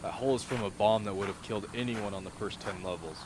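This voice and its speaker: A man playing a steady, matter-of-fact military officer in an amateur radio drama, blunt and businesslike. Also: on the low side, quick pace, natural voice. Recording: clearly noisy outdoor-like ambience.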